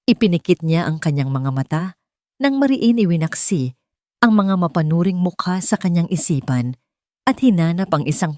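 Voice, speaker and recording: A woman's voice: on the low side, darkish tone, no room sound, clean studio sound. A woman narrating a scripted dramatic story in a solemn, sentimental manner.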